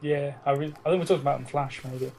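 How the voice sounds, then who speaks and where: A man's voice: fairly high pitch, steady tone, fast, darkish tone, slight background noise. A British man co-hosting a podcast in casual, teasing, sweary banter with a friend. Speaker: podcast co-host.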